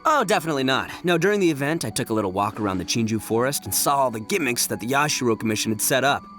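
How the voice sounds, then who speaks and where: A man's voice: mid-range voice, animated, very fast, fairly bright tone, soft background music, close to an everyday voice. An actor playing a cocky, boastful young male gang leader who spooks easily, in a video game. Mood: thoughtful, confident. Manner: boastful, swaggering, colloquial. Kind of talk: animated video game voice acting.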